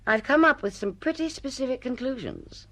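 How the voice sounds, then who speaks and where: An actress playing a ruthless, brash publishing executive in a radio drama, blunt, domineering and full of business jargon. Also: female voice, high voice, big pitch swings, normal speed, fairly bright tone, heavily acted. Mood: coolly dismissive.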